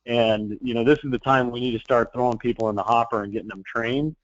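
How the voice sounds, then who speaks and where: A man's voice: medium pitch, steady tone, quick pace, no room sound. A man runs a sales team call, easygoing and encouraging, circling back on his words. His voice comes over a muffled, lo-fi line with no room sound. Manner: loose and encouraging, many fillers and restarts. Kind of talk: casual business meeting talk.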